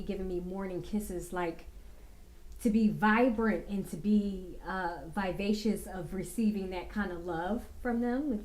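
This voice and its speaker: A woman vlogger talking to her viewers about getting a full life, slow and reflective with many fillers, a little raspy from a cold. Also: mid-range voice, a little mellow, rough recording. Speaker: vlogger giving life advice. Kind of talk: casual talking-to-camera advice.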